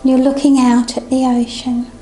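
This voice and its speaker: Female meditation guide, gentle and soothing, high and mellow, over music. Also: little pitch movement, poor audio. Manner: gentle, soothing, unhurried instructions.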